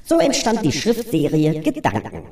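Guy doing an eager earnest sidekick in an audio drama, swoopy higher voice, roomy echo. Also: wildly swooping pitch, normal speed, darkish tone. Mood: agreeable. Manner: enthusiastic, agreeable, easily indignant. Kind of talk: scripted audio drama trailer dialogue.

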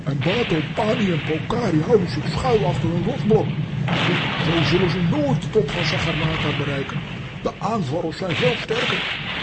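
A cocky, boastful goof from a comic-strip radio play. His voice jumps around animatedly with a mocking edge, over clear background noise. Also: sits high, expressive, normal speed. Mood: explaining. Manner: mocking, swooping, giggly. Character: cocky, boastful, teasing comic bungler, grown man. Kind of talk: children's audio drama acting.

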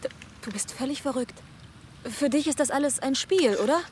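An actress playing a young woman in a hacker group who is hiding from the police, with urgent, sharp questions. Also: high voice, moderate pace, background noise, lightly acted. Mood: tense. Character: young woman on the run, anxious. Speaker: Sophia, a member of a hacker group. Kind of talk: radio drama acting.

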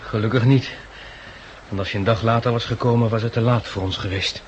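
A man's voice: fairly low pitch, steady tone, a little fast, natural voice. An actor playing a wry, self-deprecating man in a 1960s Dutch radio play, on a dull recording with some noise. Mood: relieved. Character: blind man, grateful, wryly self-deprecating.

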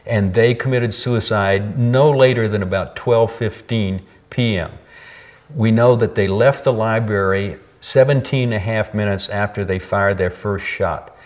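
A man's voice: on the low side, measured pace. An American man giving a factual interview account in a matter-of-fact way.

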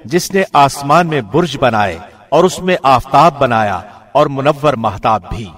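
A man reading a scripture translation from a script in a formal, solemn, measured manner. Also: mid-range voice, lively intonation, fairly bright tone. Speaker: translation reader, radio host.

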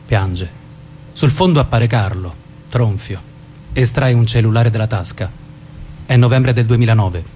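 Lowish male narrator, noisy recording. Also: unhurried, long pauses, warm tone. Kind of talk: scripted narration of stage directions.

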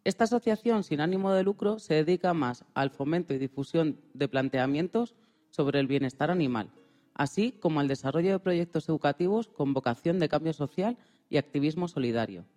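A man's voice: fairly high pitch, lively intonation, brisk, darkish tone. A man co-presenting a radio programme, narrating from a script.

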